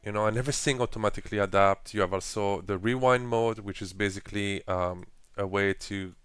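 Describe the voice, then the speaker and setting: A man presenting a casual software tutorial with phrasing and frequent fillers, explaining each step while clicking through an editor. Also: fairly low pitch, fairly even intonation, brisk, clean tone, no echo. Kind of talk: screen-recorded software walkthrough.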